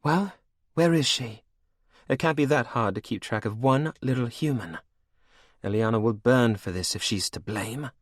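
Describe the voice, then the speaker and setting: A man's voice: a little high, sing-song, measured pace, dry recording, clean studio sound, voice pushed up. A man voicing a cruel, scheming vampire queen who speaks in a mocking, sing-song way. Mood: angry. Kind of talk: audiobook character voice.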